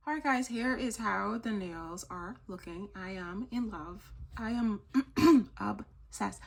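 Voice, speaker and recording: Woman host, mid-pitch, gravelly fry, chatty tutorial delivery, a little hoarse. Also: takes it slowly. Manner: chatty, gushing, self-correcting. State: slightly hoarse, losing her voice. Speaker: nail art tutorial host. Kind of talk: casual tutorial chat.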